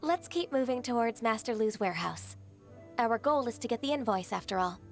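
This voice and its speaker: A woman voicing a solemn female official in a game, who talks in a formal, measured, politely cold way. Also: a little high, expressive. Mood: rueful. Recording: game audio with faint music.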